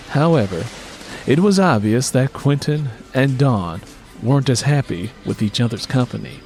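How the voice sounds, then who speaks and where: A man reading a story as a scripted fiction narrator, in an expressive storytelling manner. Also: mid-range voice. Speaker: audiobook narrator.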